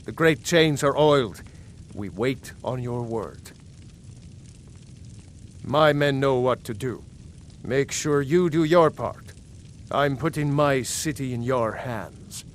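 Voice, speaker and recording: An actor playing a stern, resolute lord in a video game, giving orders in firm, clipped phrases. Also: male voice, mid-range voice, slow pace, pauses often, a little hiss or hum, lightly acted. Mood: grave, resolved. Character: stern, resolute male lord commanding his soldiers. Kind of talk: video game character dialogue.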